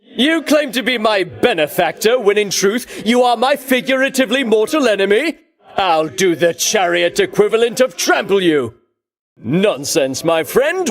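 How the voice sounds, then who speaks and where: Casting: a boastful ancient Greek champion king in a game, high ringing voice, theatrical pomp, wildly swooping intonation. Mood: haughty boasting. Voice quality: ringing, declamatory. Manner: pompous, archaic grandiose diction. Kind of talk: theatrical video game voice acting.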